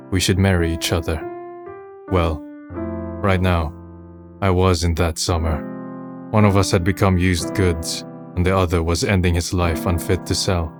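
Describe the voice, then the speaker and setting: A man plays a jaded young man in a manga drama, with a very low voice. He narrates and talks in a reflective, understated way with a dry, blunt edge. Music plays clearly behind him. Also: lightly acted. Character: weary, cynical young man narrating his final days. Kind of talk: fan manga dub, first-person narration and dialogue.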